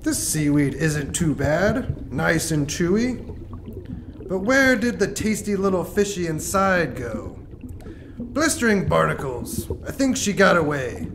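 A woman puts on a hungry big bad shark for a puppet show. He schemes in drawn-out, theatrical menace, his pitch swooping widely over clear background noise. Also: sing-song, heavily acted. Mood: grumpy, scheming. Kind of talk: children's puppet show character.

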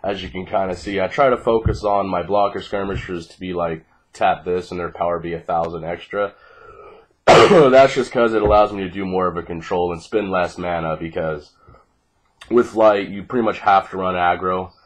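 A man's voice: deep voice, moderate pace. A man in his twenties with a groggy voice, giving a casual, sweary card deck profile in a laid-back way. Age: 20s. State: groggy, congested from fighting off a cold. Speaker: card game player presenting a deck. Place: small living room at home, indoors. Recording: noisy home webcam recording with clicks.

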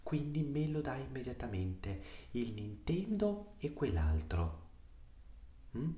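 Hushed, stern dad played by a young man, roomy. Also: medium pitch, sing-song, slow pace. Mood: stern, demanding. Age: 20s. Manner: hushed, slipping into whispers, clipped reprimands. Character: stern, exasperated, sarcastic Italian father scolding his son. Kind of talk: soft-spoken ASMR roleplay. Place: small room indoors. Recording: roomy echo, faint hiss.

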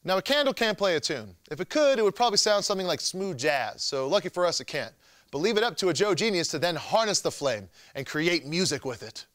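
A man's voice: high-pitched, very animated, fairly quick, fairly bright tone, dry recording, studio quality. A young man hosting a show with scripted comedic narration in a jokey, wry manner. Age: young adult. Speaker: host.

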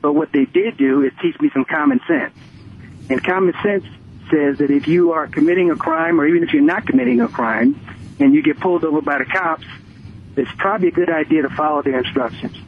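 An older American man calls in on a thin, tinny phone line with slight noise. His voice sits somewhat high. He makes his case emphatically, circling back to repeat each point. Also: fairly quick, vocal fry. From Connecticut, United States. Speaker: radio phone-in caller. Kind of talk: opinionated call-in talk. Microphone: telephone.

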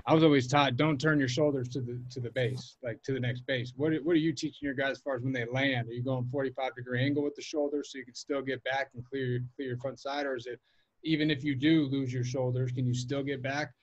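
A young man hosts a video call panel from outdoors, firing off casual questions at a brisk clip. His voice comes through dull and dry. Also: mid-range voice, steady tone, no room sound. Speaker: panel moderator. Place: outdoor covered porch. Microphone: video call webcam.

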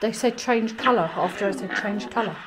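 A woman's voice: mid-range voice, a little fast, noisy background. Woman coaxing spirits in an abandoned house. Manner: calm, coaxing questions. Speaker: paranormal investigator. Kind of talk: calling out to spirits. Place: small abandoned farmhouse room, indoors. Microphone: handheld action camera.

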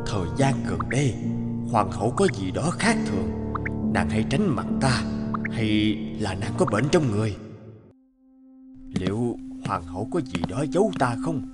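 A man's voice: on the low side, very animated, unhurried, long pauses, over loud music. A man voicing an old, trusting king in a fairy tale cartoon, regal and dramatic in manner. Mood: worried, brooding. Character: old, trusting king, doting yet quick to anger.

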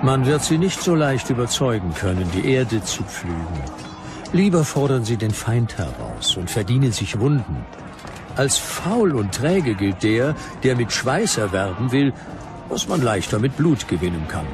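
An actor playing a grave Roman historian, declaiming an ancient account deliberately in a documentary drama. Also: male voice, mid-range voice, very animated, over music. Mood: grave, declamatory. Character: Roman historian Tacitus, grave observer of foreign tribes. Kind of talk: dramatised reading of ancient text.

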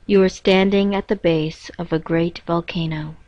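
A woman reading a scripted guided meditation in a measured, instructing manner with pauses between phrases. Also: lowish voice, fairly even intonation, darkish tone. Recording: dull, roomy echoing lo-fi recording.

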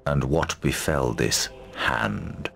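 A grave lord from a fantasy video game, with an extremely low, creaky voice. He speaks slowly and solemnly, as one used to command. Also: fairly bright tone, faint music behind, heavily acted. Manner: slow, solemn, authoritative. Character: grave, stately ruling lord granting missions. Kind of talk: video game cutscene acting.

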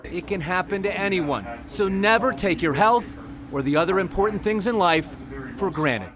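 A male news reporter with a high, light voice reads a scripted voice-over. He leans into key phrases with emphatic storytelling delivery, recorded cleanly on a studio mic. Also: lively intonation, normal speed, a crowd in the background.

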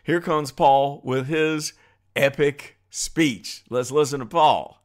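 A man's voice: a little high, fairly even intonation, takes it slowly. A middle-aged American man hosting a casual reaction commentary, slangy and amused in manner. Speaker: political commentary video host.

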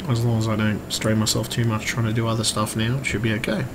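Croaky man rambling over background noise. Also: lowish voice, level tone, quick pace. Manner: hesitant, rambling, swears casually. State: strained voice, throat-clearing. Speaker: vlogger and computer repairer. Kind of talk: rambling casual update vlog. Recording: clearly noisy room recording.

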